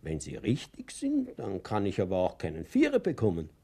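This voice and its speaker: A man's voice: low-pitched, huge pitch swings, moderate pace, vocal fry, warm tone, breathy edge, heavily acted. An actor playing a naive, chatty older Bavarian man who boasts to a child in a radio play, rambling and confiding. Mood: sly pride. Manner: rambling, chuckling, confiding storyteller.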